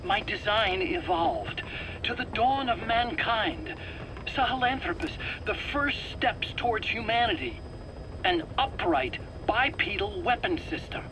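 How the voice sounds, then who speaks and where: A man's voice: high voice, very animated, measured pace. A man playing an anxious, defensive weapons scientist, hesitant and stammering, in a video game drama. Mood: explaining eagerly. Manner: hesitant, stammering, pleading and self-justifying. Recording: clear background noise, interrogation tape.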